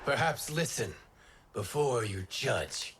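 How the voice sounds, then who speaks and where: An actor playing an earnest, reasoning heroic warrior in a video game cutscene, formal and measured. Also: male voice, mid-range voice, animated, over music. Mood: polite, firm.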